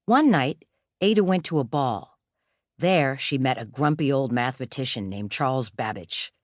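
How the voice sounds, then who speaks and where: Low-voiced woman narrating with lively, varied pitch. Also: moderate pace, no room sound, studio quality. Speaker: audiobook narrator. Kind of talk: scripted storybook narration.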